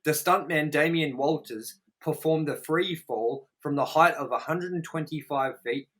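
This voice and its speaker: A man's voice: a little high, measured pace, fairly bright tone. A man chatting casually as co-host of a film review show, blunt and sweary, repeating words for emphasis.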